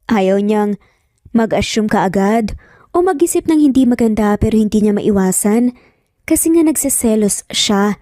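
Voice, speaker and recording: A woman's voice: medium pitch, animated, normal speed, warm tone, no room sound, clean studio sound. A woman with a Filipino accent reading scripted romance story narration.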